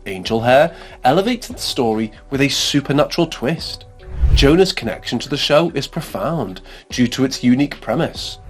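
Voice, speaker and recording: A man delivers scripted explainer narration in a medium-pitched voice. His reading is measured and formal, like a prepared essay. Music plays behind him. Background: background music under voice-over.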